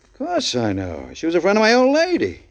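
A man's voice: high-pitched, wildly swooping pitch, fast talker, vocal fry, full character voice. An actor playing a nervous, shifty pool hall owner in his forties who stammers through anxious questions, in 1940s radio acting. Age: 40s. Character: big fortyish pool hall owner, nervous, then menacing. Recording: dull lo-fi 1940s radio recording.

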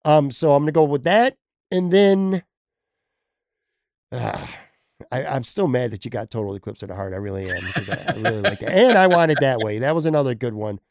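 A man's voice: mid-range voice, lively intonation, fairly quick, darkish tone, no echo, clean studio sound. A man hosting a casual sports podcast, chatting and joking with a guest. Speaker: podcast host.

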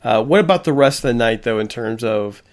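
A man's voice: mid-range voice, lively intonation, fairly quick. Podcast host, man, mid-range voice, easy conversational delivery, long rambling questions. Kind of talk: casual podcast chat.

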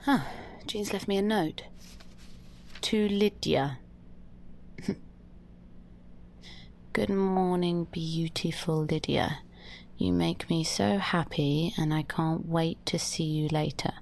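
A fragile young woman in love murmurs softly in a naturalistic audio drama. Her speech is halting and slow, on the low side, with a creaky edge. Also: steady tone, a little hiss or hum, exaggerated voice. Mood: soft, pleased.

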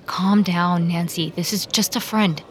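Actress as a cool, lowish, dangerous woman. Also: steady tone, a little slow, lightly acted. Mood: cool, dismissive. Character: cool, dismissive, dangerous woman keeping a subordinate in line. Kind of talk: audio drama acting. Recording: clear background ambient noise.

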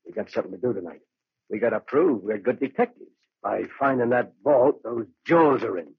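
An actor playing a tough, greedy crooked detective with slangy, sneering delivery in 1940s radio style. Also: male voice, mid-range voice, animated, brisk, background noise, lightly acted. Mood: sneering, amused. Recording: dull old radio broadcast.

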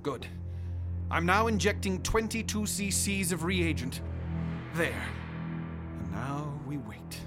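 An actor playing a cold, detached mad scientist who speaks calmly and dismissively. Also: male voice, fairly high pitch, takes it slowly, pauses often, loud music behind the voice. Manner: calm, clipped, condescending. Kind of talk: radio drama acting.

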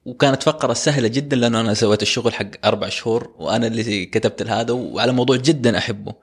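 A young man, co-hosting a casual podcast and telling personal stories in a lively way. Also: mid-range voice, racing, breathy edge. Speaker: podcast co-host.

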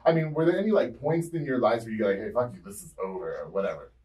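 A man's voice: medium pitch, very fast, a little mellow, distant mic. A man in his late twenties hosting a podcast interview, joking, teasing his guests and laughing often. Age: late 20s. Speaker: podcast host. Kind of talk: casual interview chat.

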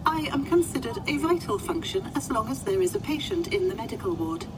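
A woman's voice: on the high side, very animated, brisk. A woman voicing a formal, literal-minded, unflappable medical computer in a science fiction audio drama. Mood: polite, helpful. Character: polite, literal-minded female medical ward computer assistant. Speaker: actor in a fiction podcast. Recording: clear background ambient noise.

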